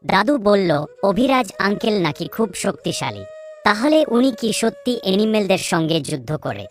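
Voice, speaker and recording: A woman's voice: mid-range voice, big pitch swings, normal speed, lightly acted. A woman voicing a curious, innocent little boy in a cartoon story, asking questions in an eager, childlike way. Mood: innocent, insistent. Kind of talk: Bengali cartoon story dialogue. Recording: music under the voice.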